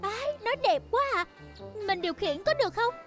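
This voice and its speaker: A woman's voice: very high voice, lively intonation, moderate pace, music in the background. A woman voicing a sweet, sensible young girl fox in a children's cartoon dub, cheerful and girlish.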